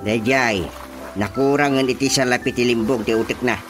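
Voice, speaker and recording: A man acting an excitable, exasperated village chairman in an Ilocano radio drama comedy, exclaiming and scolding theatrically with an Ilocano accent. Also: mid-range voice, moderate pace, faint music behind, lightly acted. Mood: puzzled, mocking.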